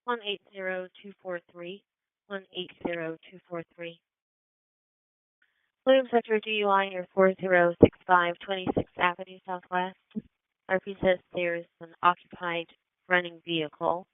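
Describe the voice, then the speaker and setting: Woman, radio dispatcher, tinny narrow band, dry sound, clear voice, clipped procedural call-outs. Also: on the low side, steady tone, normal speed, no echo. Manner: clipped, procedural, reading out numbers digit by digit. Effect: two-way radio. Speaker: police and fire radio dispatcher.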